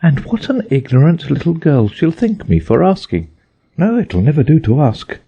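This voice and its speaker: Guy doing a curious prim little girl for a storybook, way up high, chatting to herself, kinda muffled audio. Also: huge pitch swings, normal speed, husky, heavily acted. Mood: musing, chattering. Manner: musing aloud to herself, prim. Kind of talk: audiobook character voice.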